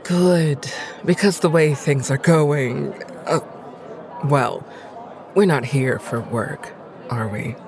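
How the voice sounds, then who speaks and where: A woman playing a haughty, cynical noblewoman in an audio drama, with an arch, aristocratic and deliberate manner. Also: deep voice, crowd noise. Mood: gracious, teasing. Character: haughty, cynical, insistent noblewoman, lady of the house.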